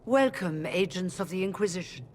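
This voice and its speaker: A weary leader of rebel mages in a fantasy game. Her voice is husky and on the low side, formal and measured, with a defensive edge. It rings with long hall reverb. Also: expressive, darkish tone.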